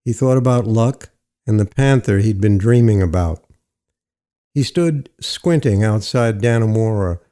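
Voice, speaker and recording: Mellow male fiction narrator, measured delivery. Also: fairly low pitch, animated. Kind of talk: literary audio fiction narration.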